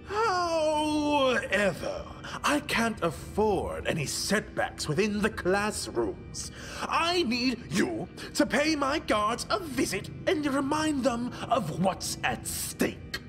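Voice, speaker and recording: An actor playing a pompous, menacing authority figure with a theatrical, deliberate delivery in a video game. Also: mid-range voice, huge pitch swings, a bit bright, full character voice. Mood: displeased, commanding. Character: pompous, menacing authority ruling over young guardians. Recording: faint music behind.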